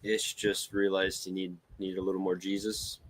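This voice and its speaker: A man with a low, deep voice chatting casually as a podcast co-host. He speaks haltingly, often doubling back on his words. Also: monotone, a crowd in the background. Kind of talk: casual chat.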